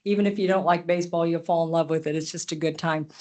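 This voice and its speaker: A woman's voice: fairly low pitch, steady tone, quick pace, mellow. A middle-aged woman, a county commissioner, reading a proclamation aloud and chatting informally at a public meeting. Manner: friendly, informal asides with laughs.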